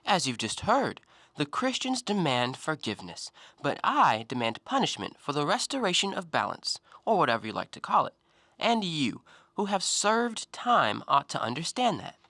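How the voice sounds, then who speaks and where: Man acting a blunt, keen-eyed interrogator, very animated. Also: a little high, normal speed, no room sound, heavily acted. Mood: stern. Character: blunt, observant, self-assured male intellectual interrogator. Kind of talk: stage-play dialogue in an audio drama.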